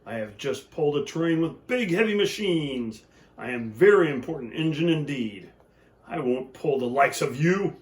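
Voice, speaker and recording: A man voicing a big, boastful male freight engine in a storybook reading, loud and pompous. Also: a little high, expressive, moderate pace, close to an everyday voice.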